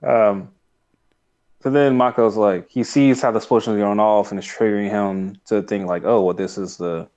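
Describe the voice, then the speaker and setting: A man's voice: on the low side, fairly even intonation, moderate pace, a little mellow. A man co-hosting a podcast, recapping a show casually and somewhat hesitantly. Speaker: podcast co-host. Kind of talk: casual episode recap chat.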